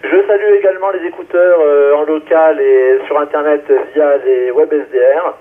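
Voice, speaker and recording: Male ham radio operator, slightly high voice, casual check-in, narrow relay audio. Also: fairly even intonation, moderate pace. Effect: two-way radio.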